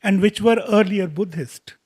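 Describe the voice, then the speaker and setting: Man, interviewer, high voice, searching questions, many fillers. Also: moderate pace, darkish tone, slightly rough.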